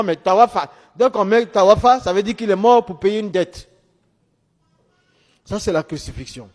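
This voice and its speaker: A middle-aged man, not a native French speaker, giving a religious testimony as a story, emphatically and in short repeated phrases. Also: high-pitched. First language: a language other than French, Arabic or English. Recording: long hall reverb.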